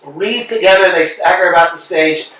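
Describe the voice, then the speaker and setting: Man, stage directions narrator, casual offhand reading, mid pitch, echoey room, distant mic, faint hiss. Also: pushed and bright. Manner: casual, chuckling asides.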